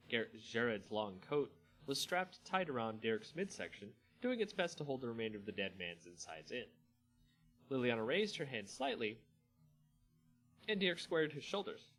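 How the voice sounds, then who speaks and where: A man hosting a dramatic story reading, expressive in delivery and now and then stumbling and correcting himself. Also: mid-range voice, low-quality recording. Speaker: host reading a fantasy story aloud.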